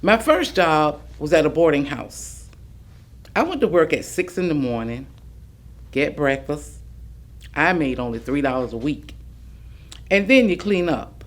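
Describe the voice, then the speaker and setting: A man's voice: a little high, very animated, a little slow, pauses often. A man performing a plain-spoken boarding-house worker's memories in a staged reading. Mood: matter-of-fact. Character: plain-spoken working woman recalling hard boarding-house chores. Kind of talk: staged monologue of oral history recollections.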